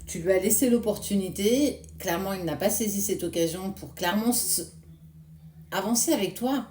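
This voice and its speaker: A woman, hoarse this morning, giving a casual tarot reading and speaking reassuringly straight to the viewer. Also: mid-range voice, normal speed, a little mellow, roomy sound, a little hiss or hum. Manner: addresses viewer directly with soothing reassurance. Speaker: tarot card reader.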